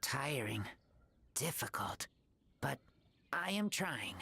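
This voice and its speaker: A woman voicing an earnest feral boy raised by wolves in an anime game, speaking in halting, broken short phrases. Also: very deep voice, big pitch swings, gravelly, bright, forceful tone, husky, full character voice. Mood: earnest, tender.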